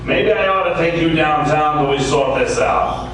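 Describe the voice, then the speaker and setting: An actor playing a blunt, gruff, mocking police detective in a noir radio drama. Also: male voice, on the high side, lively intonation, normal speed, lightly acted. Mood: suspicious, mocking. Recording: echoey distant mic, noisy ambience.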